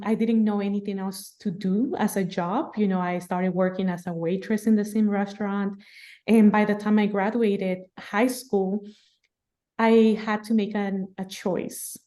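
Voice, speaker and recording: Lively woman from Guatemala, in her thirties. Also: medium pitch, unhurried. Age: 30s. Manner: lively, laughing, animated storytelling. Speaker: podcast guest. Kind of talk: casual storytelling interview.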